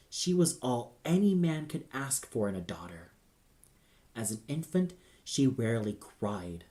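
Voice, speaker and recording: A man's voice: medium pitch, a little mellow. A man narrating an audio play in a solemn, theatrical, scripted style.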